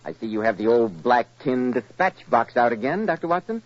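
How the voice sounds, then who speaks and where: A man with an American accent announcing and pitching a sponsor in a polished, emphatic 1940s radio style. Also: very animated, brisk, dry recording. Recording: muffled old radio recording.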